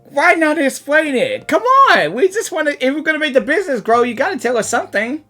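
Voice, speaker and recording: A woman reacting casually to a game, excitable and exclamatory. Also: high voice, fairly quick, faint music behind. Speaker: reaction streamer.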